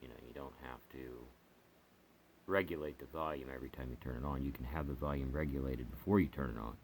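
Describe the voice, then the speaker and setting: A man reviewing gear as a hobbyist in a casual, unscripted, halting and rambling way, with pauses as he explains. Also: extremely low pitch, steady tone, a little hiss or hum.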